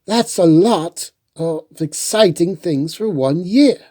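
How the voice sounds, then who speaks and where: A storyteller voicing a warm but firm aunt from a children's picture book. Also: medium pitch, animated, slow, voice pushed up. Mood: impressed.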